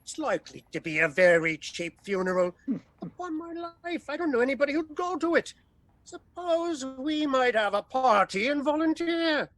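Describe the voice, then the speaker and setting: Male actor as callous gossiping gentleman: high voice, dry close sound, droll theatrical delivery. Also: animated, normal speed, no room sound. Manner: droll, mocking. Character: flippant, callous city gentleman. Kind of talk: theatrical audio-play acting.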